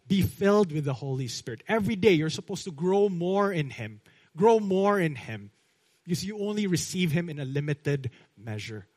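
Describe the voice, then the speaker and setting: A man preaching a sermon, emphatic, dropping low and quiet on key lines. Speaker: pastor.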